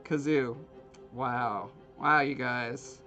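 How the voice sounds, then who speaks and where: A man's voice: mid-range voice, fairly even intonation, drawn-out words, pushed and bright, soft background music. A man from Alaska hosting a livestream, chatting casually and joking with his viewers while he carves. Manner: rambling, joking with live chat. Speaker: livestream host. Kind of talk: casual chat while carving.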